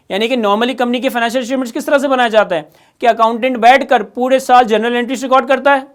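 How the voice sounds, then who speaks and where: A man's voice: very high-pitched, clean tone. A man with a Pakistani accent giving an instructional lecture, explaining step by step with emphatic repetition. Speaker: accounting lecturer.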